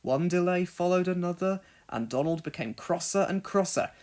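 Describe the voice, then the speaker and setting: A narrator reading a children's read-along story expressively, in deliberate phrases. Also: mid-range voice, a little mellow.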